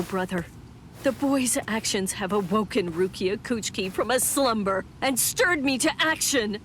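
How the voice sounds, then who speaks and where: A woman acting a slow-spoken proud young noblewoman. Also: medium pitch, a bit bright, a little hiss or hum, lightly acted. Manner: formal, dramatic, imperious. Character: proud, anguished young noblewoman warrior, stern and self-sacrificing. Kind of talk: English anime game dub acting.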